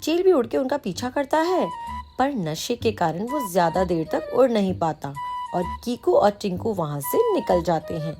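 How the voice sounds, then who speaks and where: A woman's voice: mid-range voice, big pitch swings, normal speed, music in the background. A woman reading a scripted children's story as its narrator.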